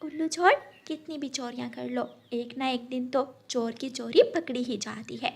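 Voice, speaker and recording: A woman's voice: sits high, fairly even intonation, normal speed, vocal fry. A woman voicing a kind, polite female bird who owns an orchard in a children's cartoon. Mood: calm, fair.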